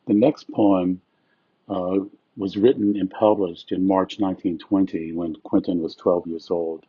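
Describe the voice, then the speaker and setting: A man reads rhymed verse and introduces it. His voice is low and husky. The recording is dry and somewhat dull. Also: fairly even intonation, measured pace, dry recording. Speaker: presenter reading poetry. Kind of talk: poetry reading with short introductions.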